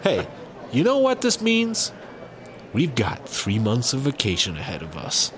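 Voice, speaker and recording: A man's voice: mid-range voice, wildly swooping pitch, a little slow, slight background noise. A man playing a breezy, dim space cop in a sci-fi comedy audio drama, casual with a touch of melodrama. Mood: carefree. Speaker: voice actor.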